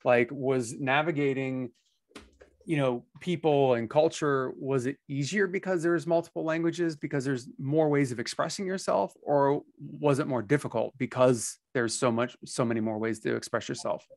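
A man's voice: medium pitch, moderate pace, vocal fry, no echo. An American man in his forties hosts with a mid-range voice, circling his questions with plenty of fillers. The close headset mic keeps the sound dry and near. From United States. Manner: rambling, hesitant, many fillers. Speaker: podcast host. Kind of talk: casual interview conversation. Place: small home studio room.

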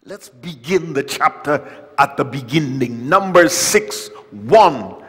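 Older preacher in a reverberant church. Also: male voice, on the high side, animated, slow pace, slightly rough. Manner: wry humor, swings from loud emphatic reading to quiet asides. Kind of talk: sermon with scripture reading. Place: church sanctuary at a lectern. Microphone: lectern mic, far camera. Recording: long church hall reverb.

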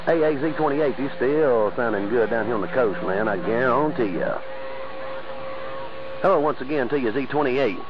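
A man with a Southern US accent chatting casually in CB slang over the radio, with folksy drawn-out exclamations. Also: medium pitch, expressive. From southern United States. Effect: CB radio transmission. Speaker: CB radio operator. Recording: muffled, very noisy CB radio reception. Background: loud radio static.

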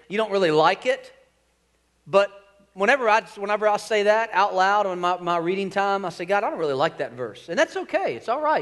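A man's voice: sits high, fairly bright tone. A man in his forties, a senior pastor preaching on a church stage in a folksy, joking way that draws in the crowd. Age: 40s. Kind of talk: sermon. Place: large church stage with screens.

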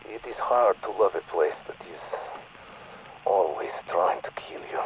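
An actor playing an earnest male Russian scientist speaking over a radio, with a Russian accent and a slow, measured manner. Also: low voice, highs cut off, slight background noise. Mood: wry warning. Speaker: in-game radio character. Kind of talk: recorded game dialogue.

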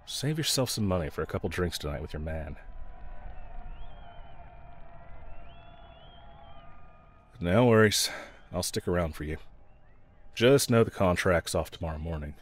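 A man's voice: deep voice, lively intonation, brisk. A man playing a snarky, pragmatic yet protective mercenary escort in a fantasy audio roleplay, talking to the listener in a wry, teasing, laid-back way. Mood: grumbling, caring.

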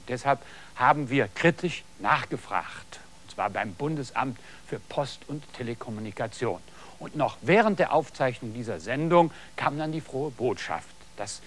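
A middle-aged man hosting a TV hobby show, presenting to viewers from a studio set dressed as a patio, on a lapel mic. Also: mid-range voice. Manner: didactic, addresses viewers directly. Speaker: TV host.

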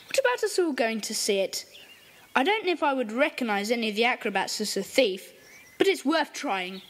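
A woman's voice: on the high side, very animated, brisk, fairly bright tone. A woman playing an eager, decisive young boy who leads a group of children in an audio drama.

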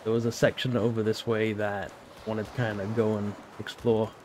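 A man with a sore, slightly hoarse throat, casually commenting on his own gameplay as a channel host. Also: on the low side, moderate pace, a little hiss or hum. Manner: relaxed, chatty, thinking aloud.

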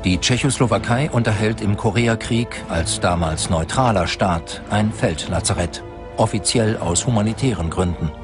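A man with a low voice delivers scripted documentary narration. Prominent music plays behind him as he reads. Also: normal speed.

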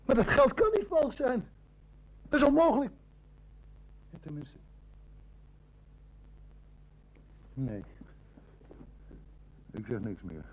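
An actor with a Netherlands Dutch accent playing a nervous, indignant small-time crook in an old radio drama, protesting in an agitated way. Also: male voice, very high voice, wildly swooping pitch, unhurried, pauses often, exaggerated voice. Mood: indignant, alarmed. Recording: dull old radio-play recording.

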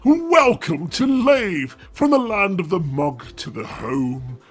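A man reads comic verse theatrically. His delivery is slow and declaiming, with very animated pitch. Faint music sits behind him. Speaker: story reader. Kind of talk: dramatic comic reading of a mock-epic.

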